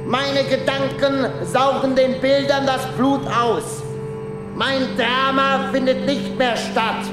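An actor playing a disillusioned stage player who refuses his role, in a detached, measured theatrical monologue. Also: extremely high pitch, reverberant, poor audio. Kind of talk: theatrical literary monologue in a radio feature. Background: prominent music.

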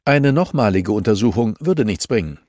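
A man's voice: lowish voice, expressive, moderate pace, darkish tone, no echo, clean studio sound, voice pushed up, natural voice. A man voicing a stern older male judge in an audiobook, brusque, formal, skeptical and unyielding. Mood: dismissive. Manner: formal, curt, officious.

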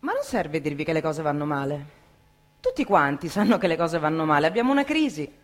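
A woman reciting a dramatic theatrical monologue in an impassioned, emphatic way, with deliberate pauses. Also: sits low, big pitch swings, a little hiss or hum.

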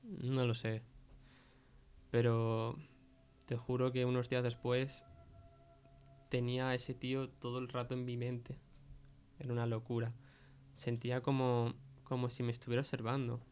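Young man from Spain, fairly flat delivery. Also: mid-range voice, a little slow, pauses often. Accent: Spain Spanish. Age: young adult. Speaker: podcast guest, film producer. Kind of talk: informal testimony in conversation.

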